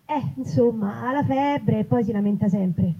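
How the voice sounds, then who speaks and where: A woman's voice: fairly high pitch, moderate pace, lightly acted. Grumbling, foul-mouthed Roman woman, as a female reader plays her. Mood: weary. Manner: grumbling, coarse colloquial. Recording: dull, noisy live event recording.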